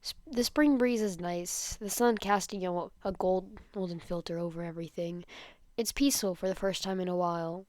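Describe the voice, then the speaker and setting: A woman's voice: fairly low pitch, steady tone, normal speed, a little mellow, no echo. A woman giving an amateur read-aloud of fan fiction, casual in style and stumbling over words now and then before correcting herself. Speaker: podfic reader.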